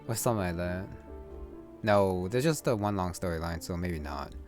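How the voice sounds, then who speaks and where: A man's voice: low voice, lively intonation, moderate pace, a little mellow, faint music behind. A man giving casual, off-the-cuff commentary on a video game in a hesitant, rambling way.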